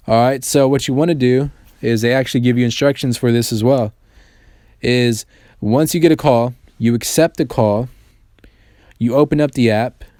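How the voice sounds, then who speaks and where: A man's voice: medium pitch, warm tone, dry recording, studio quality. A man narrating a casual how-to tutorial in an informal, slangy, profanity-laced way. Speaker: tech tutorial host. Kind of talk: casual screen-recording tutorial voice-over.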